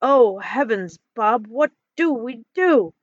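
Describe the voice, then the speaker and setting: A woman's voice: on the high side, expressive, takes it slowly, no echo, lo-fi sound. A woman voicing a childlike, dramatic, anxious young male frog in a story, with a whiny, theatrical manner. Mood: alarmed. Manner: whiny, theatrical, stuttering when scared.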